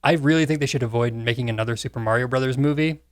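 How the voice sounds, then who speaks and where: A man chats as a podcast panelist in a middle-pitched voice. He is informal and puts emphasis into his points. The sound is dry, with hardly any room. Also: rapid-fire, no room sound. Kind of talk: casual chat.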